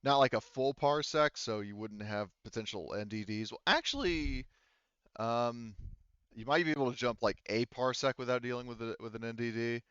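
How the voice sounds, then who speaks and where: A man working as game master, casually narrating a sci-fi tabletop roleplaying game in a halting, think-aloud way. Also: mid-range voice, a bit bright, clean tone, dry recording, muffled recording.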